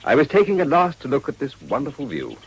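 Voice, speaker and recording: A man playing a courtly, flattering upper-class gentleman suitor in a period drama, with florid, formal manners. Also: medium pitch, fairly quick, husky, exaggerated voice, poor audio. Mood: courtly, admiring.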